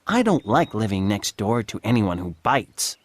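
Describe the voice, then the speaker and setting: A man's voice: on the low side, sing-song, normal speed, no echo. A man reading a children's storybook, voicing a friendly gossipy pony. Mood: chatty. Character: chatty fat gray male pony.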